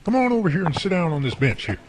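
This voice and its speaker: An actor playing a folksy, devout boss and mentor in an amateur radio drama, explaining things earnestly and at length. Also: male voice, a little high, big pitch swings, fast talker, slight background noise. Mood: friendly, earnest. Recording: long hall reverb.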